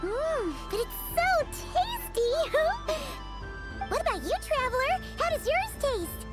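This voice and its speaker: A woman's voice: very high-pitched, sing-song, slow pace, heavily acted. A woman voicing a tiny, chirpy, childlike female companion who talks about herself by name in a video game. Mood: excited. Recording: game audio with loud music behind.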